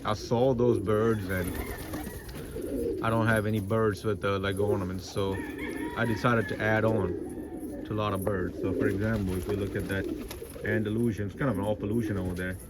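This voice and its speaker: Rambling man over loud pigeon noise. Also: fairly low pitch, steady tone, a little fast. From India. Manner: rambling, many fillers and restarts. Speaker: pigeon keeper showing his birds. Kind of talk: casual vlog walkthrough. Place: outdoor backyard pigeon loft. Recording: loud bird noise outdoors.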